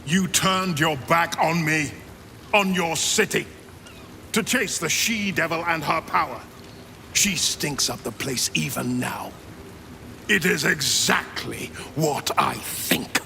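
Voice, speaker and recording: A man's voice: fairly high pitch, takes it slowly, gravelly, pushed and bright, a little hiss or hum, heavily acted. An actor playing a proud, anguished older grand duke and father, with solemn, formal delivery in a fantasy game. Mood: bitter, accusing. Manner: solemn, formal, theatrical oratory. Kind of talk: dramatic fantasy game acting.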